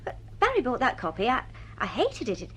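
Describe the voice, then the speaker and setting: A woman's voice: a little high, wildly swooping pitch, a little fast, sharp tone, slight background noise, heavily acted. An actress playing a wounded, sceptical woman in a radio drama, blunt, emotional and challenging. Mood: disbelieving, scornful.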